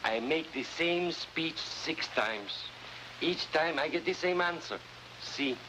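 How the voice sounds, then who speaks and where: An actor playing a cheerful, romantic Latin American prisoner with a Spanish accent, polite and florid in an old crime drama. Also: male voice, a little high, animated, slow. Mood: amiable, earnest. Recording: noisy, hissy old 1950s drama soundtrack.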